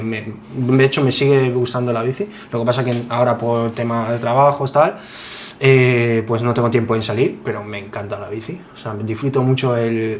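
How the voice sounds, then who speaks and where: A man at an average male pitch. He chats casually as a guest, building his answers in loose, hesitant pieces. Background noise is audible. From Spain. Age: 20s. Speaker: podcast guest. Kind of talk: casual chat. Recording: clear steady background noise.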